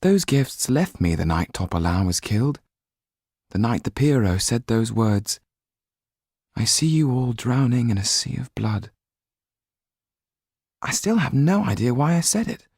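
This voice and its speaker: A man voicing an earnest, grateful young gentleman in an audiobook, speaking in a gentle, heartfelt way. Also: medium pitch, very animated, unhurried, gravelly, pauses often, slightly rough, dry recording, clean studio sound, voice pushed up, heavily acted. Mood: troubled. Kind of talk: audiobook character acting.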